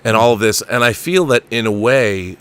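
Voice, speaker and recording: A man's voice: fairly low pitch, moderate pace, a bit bright, clear, resonant tone. A middle-aged man hosting an interview in an enthusiastic, emphatic and effusive way. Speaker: show host.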